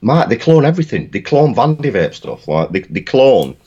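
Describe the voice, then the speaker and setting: A man's voice: medium pitch, sing-song, moderate pace, warm tone, breathy edge, muffled recording. A man in his thirties co-hosting a casual live stream, speaking emphatically with repeated words and British slang. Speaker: co-host of a vaping live show. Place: home room, indoors.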